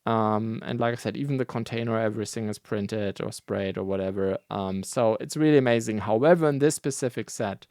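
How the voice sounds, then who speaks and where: A man whose first language is not English, hosting a casual news roundup in a relaxed, rambling way, with many fillers and self-corrections. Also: lowish voice, brisk, hardly any pauses, no room sound, clean studio sound. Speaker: host of a brick building news show.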